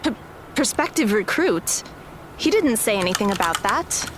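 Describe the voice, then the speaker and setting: A woman's voice: fairly high pitch, very animated, moderate pace, noisy background. A woman playing a blunt, guarded teenage girl in an audio drama, firm and frank. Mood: puzzled. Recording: music under the voice.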